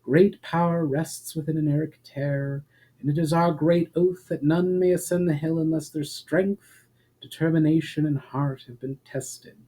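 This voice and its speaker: Man reading as a composed, knowing elf woman, mellow. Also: on the high side, fairly even intonation, moderate pace, breathy edge, pitched above the performer's own voice. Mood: calm, knowing. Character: composed elf woman, knowing, testing, faintly smug host.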